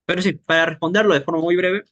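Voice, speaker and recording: A man teaching an informal language workshop, explaining as he goes and pausing to search for words. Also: high voice, fairly even intonation, fairly bright tone, dull audio.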